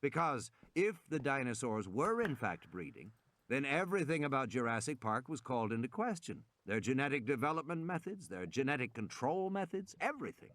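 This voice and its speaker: Expressive male audiobook narrator, widely varied intonation. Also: medium pitch, brisk, no room sound. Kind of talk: scripted dramatic audiobook narration.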